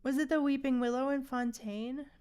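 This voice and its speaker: A woman's voice: high-pitched, fairly even intonation, moderate pace, darkish tone, clean tone. A woman chatting casually on a gaming livestream, thinking aloud in an informal, musing way. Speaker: livestream host.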